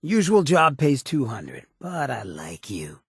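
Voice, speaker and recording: A sardonic ghoul outlaw from a video game, with a heavily rasping, creaky voice. He is sly, laid-back and streetwise, a swaggering town boss who talks in slang. The sound is close and dry. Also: mid-range voice, wildly swooping pitch, slow pace, dry recording, full character voice. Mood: wry bargaining. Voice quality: gravelly, raspy. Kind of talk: video game companion voice lines.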